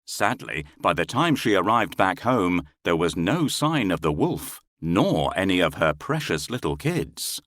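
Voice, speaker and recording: Slow, highly animated male storyteller. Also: no echo, clean studio sound. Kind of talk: scripted children's fairy tale narration.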